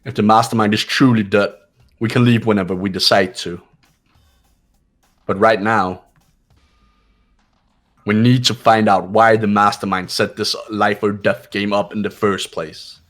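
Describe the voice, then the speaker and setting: A man voicing a cool, authoritative student sleuth in an amateur game dub, speaking with deliberate, formal certainty. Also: on the low side, level tone, fairly bright tone, faint music behind. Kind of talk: amateur voice acting of a video game.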